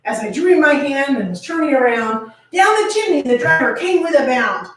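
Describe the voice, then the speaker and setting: A high-voiced woman reads a comic story aloud with theatrical flair and lively swings in pitch. She drops in jokey asides as she goes. The sound is very echoey, as if recorded away from the microphone. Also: fairly quick. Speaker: fan fiction reader and host. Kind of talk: dramatic comedic story reading with banter. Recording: roomy, echoing, distant mic with noise.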